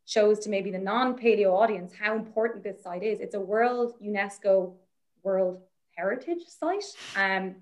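A woman's voice: medium pitch, unhurried. A young Irish woman researcher, chatting as a guest and telling a story in a rambling way, with plenty of laughter and fillers. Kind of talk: casual anecdote on a podcast.

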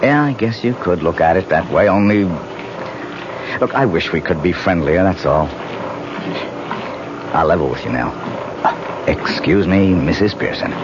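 An actor playing a pushy, over-familiar lodger in a 1970s radio drama, coaxing and informal. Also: male voice, deep voice. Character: pushy, over-familiar male lodger courting his landlady. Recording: dull, noisy vintage radio broadcast.

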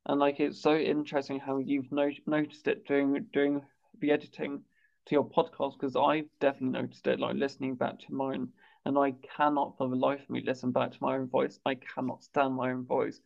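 A man's voice: mid-range voice, completely flat delivery, a little fast, vocal fry. A man with a stammer who often repeats words and says "like", hosting a conversation as a campaigner. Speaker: campaigner and podcast host. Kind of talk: conversational interview chat. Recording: dull lo-fi sound.

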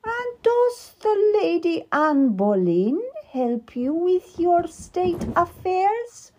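A woman voicing a dignified, wronged middle-aged queen, speaking slowly and formally in a raised, strained tone. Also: high-pitched, big pitch swings, dark tone, muffled recording, a little hiss or hum, voice pushed up, heavily acted. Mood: sarcastic. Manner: slow, measured and formal. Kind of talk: audiobook character reading.

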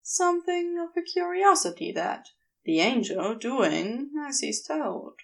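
A woman reading a story aloud as scripted, expressive narration in a measured, deliberate way. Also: high voice, vocal fry. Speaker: audiobook narrator of fan fiction.

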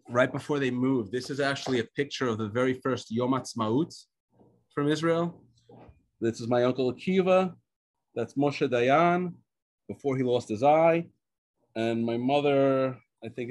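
A man from the United States whose first language is Hebrew, telling a personal story as a guest speaker on a video call, pausing often with fillers and restarts. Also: mid-range voice. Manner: halting, with many fillers and restarts.

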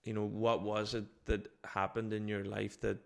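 A man's voice: lowish voice, completely flat delivery, moderate pace, vocal fry. A man hosting a podcast, interviewing conversationally and circling his way hesitantly into his questions. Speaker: podcast host.

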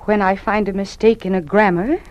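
A woman's voice: medium pitch, fairly even intonation, fairly quick, a little mellow, dry recording, natural voice. An actress playing a principled woman teacher in a 1950s radio drama. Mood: earnest. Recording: dull lo-fi old radio recording.

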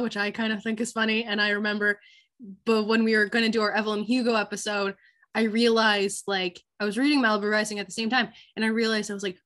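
A teenage girl hosting a casual book podcast, a bit hoarse from a cold, chatty and full of ums and likes. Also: on the high side, a bit bright. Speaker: podcast host.

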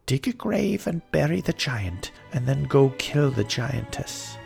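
A man's voice: mid-range voice, sing-song, measured pace, creaky, music in the background, voice pushed up, heavily acted. Man putting on a gracious, formal fairy lady. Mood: solemn instruction. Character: gracious, beautiful fairy lady, gently commanding.

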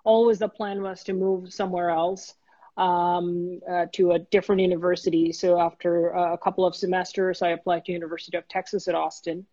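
A man, a guest in an interview, telling his career story in an earnest, steady way with many small restarts. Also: high-pitched, brisk, no room sound, highs cut off. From Bangladesh.

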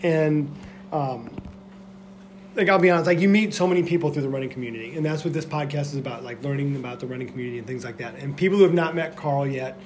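A man's voice: mid-range voice, fast, darkish tone, a little hiss or hum. A man co-hosting a podcast in a casual, chatty way, full of "like" fillers. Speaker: podcast co-host.

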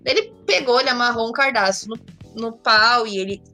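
A woman's voice: on the high side, fairly quick, sharp tone, faint music behind. A woman with a southern Brazilian accent, chatting casually as a guest and telling candid, slangy anecdotes. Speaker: interview guest.

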